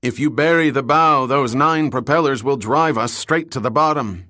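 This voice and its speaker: A man's voice: medium pitch, lively intonation, unhurried, a bit bright, dry recording, studio quality, pitched above the performer's own voice. A man playing a blunt, commanding navy sea captain in an audiobook, emphatic and instructing as he explains. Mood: urgent, confident. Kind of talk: dramatic audiobook character reading.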